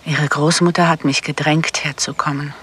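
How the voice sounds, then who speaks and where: A woman's voice: deep voice, flat delivery, moderate pace, fairly bright tone, husky. Cool, guarded woman. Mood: wary, probing. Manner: dry, guarded, terse. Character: cool, wary female contract killer. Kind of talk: German radio play acting.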